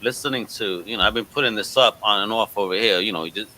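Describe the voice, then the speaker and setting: Very bright-voiced, slangy male host. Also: lowish voice, fast talker, low-quality recording. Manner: enthusiastic, hype-giving. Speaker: podcast host. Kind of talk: casual interview chat, slangy.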